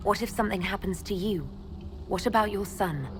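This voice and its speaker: A woman's voice: medium pitch, fairly quick, noisy background, close to an everyday voice. An actress playing a skeptical, direct heroine who leads others in a fantasy game, serious and probing in her questions. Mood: concerned, doubtful. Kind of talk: dramatic scripted game dialogue.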